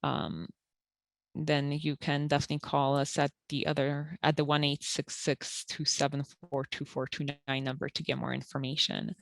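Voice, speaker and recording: A woman who works at a community health center, calmly explaining eligibility rules and documents step by step with frequent fillers. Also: low voice, normal speed, vocal fry, no room sound. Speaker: health center benefits counselor. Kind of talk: informational interview.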